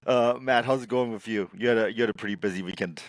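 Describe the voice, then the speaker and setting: A man's voice: lowish voice, fast talker, no room sound, poor audio. A man hosting a football podcast, chatting casually with guests, laughing often and using lots of filler words. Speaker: podcast host.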